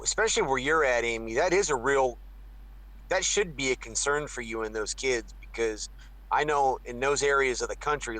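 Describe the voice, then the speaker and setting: A man in a casual online voice chat stammers and jumps in to get a word in. His voice sits at an ordinary middle pitch. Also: fairly bright tone, low-quality recording. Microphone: computer mic in a voice chat.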